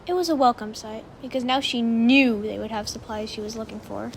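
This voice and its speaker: A woman's voice: fairly high pitch, fairly even intonation, a little fast, vocal fry, a little hiss or hum. A woman narrating a story from a script, as in an audiobook of fan fiction.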